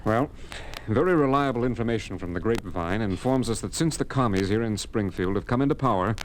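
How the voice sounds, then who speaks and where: An actor playing a brisk, indignant, matter-of-fact farm committee member in a 1950s radio drama. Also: male voice, lowish voice, expressive, lightly acted. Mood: firm, alarmed. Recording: noisy old 1950s radio recording.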